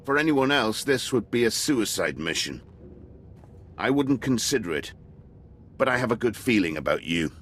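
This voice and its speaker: An actor playing a zealous, formal Imperial military colonel in a video game, pompous and contemptuous of rebels. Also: male voice, medium pitch, very animated, normal speed, pauses often, fairly bright tone, a little hiss or hum. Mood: grave briefing. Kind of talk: video game cutscene acting.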